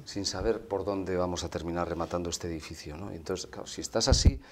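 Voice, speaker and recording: A man speaks with a low voice in a steady, measured way. He sounds like a learned university lecturer, building his points carefully and often adding a quick tag question. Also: background noise. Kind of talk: conversational discussion.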